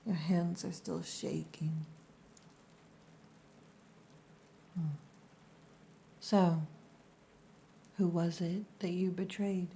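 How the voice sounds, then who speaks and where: A woman acting a stern, possessive orc huntress in an ASMR roleplay, breathy and commanding, often close to a whisper. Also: lowish voice, level tone, slow, mellow, a little hiss or hum. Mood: commanding, tender.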